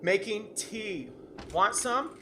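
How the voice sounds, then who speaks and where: An anxious young woman of a horror radio play, acted by a man, speaks in a bright, tense voice. She is sarcastic, defensive and intense, over faintly noisy ambience. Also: high-pitched, animated, slow, heavily acted. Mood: irritated, sarcastic. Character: anxious, irritable young woman haunted by grandmother's witch tale. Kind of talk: audio drama acting, horror storytelling.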